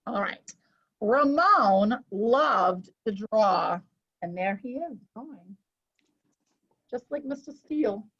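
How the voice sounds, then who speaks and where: Husky lady doing kids storytime, takes it slow, chatty and exclaiming, kinda lo-fi sound. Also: a little high, expressive, fairly bright tone. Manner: exclaiming, talking to young listeners. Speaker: host of children's story show. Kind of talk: children's read-aloud with chat. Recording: muffled lo-fi audio.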